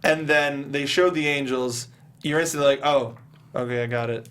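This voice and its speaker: A man's voice: medium pitch, fairly even intonation, normal speed, fairly bright tone. A man hosting a casual panel discussion about movies, talking in a joking, sarcastic way. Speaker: host of a movie review show.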